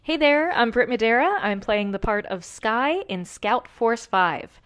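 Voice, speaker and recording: Woman doing a casual actor intro, pretty high voice. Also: lively intonation, unhurried, a bit bright, smooth.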